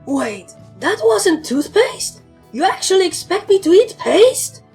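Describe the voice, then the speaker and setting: Woman playing a high, brash pegasus pony. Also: big pitch swings, a little slow, gravelly, darkish tone, soft background music, heavily acted. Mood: incredulous. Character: brash, sceptical young female pegasus pony.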